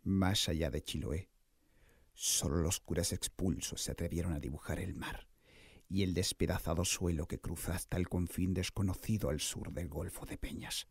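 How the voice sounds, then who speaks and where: A man's voice: sits low, fairly even intonation, normal speed, husky, no room sound, clean studio sound. A man playing a proud, ambitious, devout Spanish naval explorer in a radio drama, with grandiose, formal delivery. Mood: brooding ambition.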